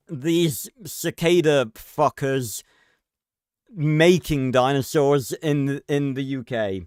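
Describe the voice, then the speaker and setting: A man's voice: fairly high pitch, clean tone, no echo, clean studio sound. A man giving casual, rambling livestream reaction commentary with frequent swearing. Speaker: reaction streamer.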